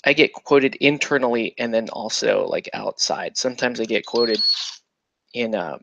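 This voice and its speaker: Bright-voiced, rambling hobby host. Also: male voice, medium pitch, moderate pace, creaky, no room sound, dull audio. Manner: rambling and jokey, with lots of fillers; breaks into laughter and puts on silly voices. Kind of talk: casual chat while building models.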